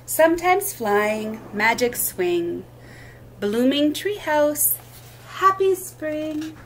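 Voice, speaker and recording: Woman reading aloud to children, slightly high voice. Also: a little hiss or hum. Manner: expressive reading in short, deliberate phrases. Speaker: children's story time host. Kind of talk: read-aloud picture book storytelling for children.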